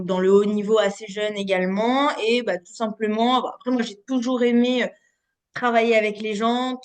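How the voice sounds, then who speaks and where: A woman in her late twenties from the Paris region, an osteopath telling her story as a podcast guest in a lively, chatty way with many fillers and restarts. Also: mid-range voice. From Paris region, France. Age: 20s. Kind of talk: casual podcast interview.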